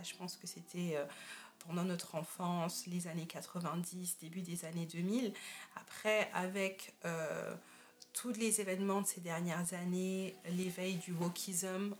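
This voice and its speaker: A woman in her thirties hosting a casual interview podcast, framing her questions at length. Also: fairly low pitch. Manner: reflective, asks long framing questions. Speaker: podcast host.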